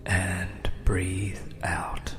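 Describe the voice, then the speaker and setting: Slow, deep-voiced man guiding meditation. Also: flat delivery, music in the background. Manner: soothing, measured, with long pauses. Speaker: guided meditation narrator. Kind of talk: scripted guided meditation narration.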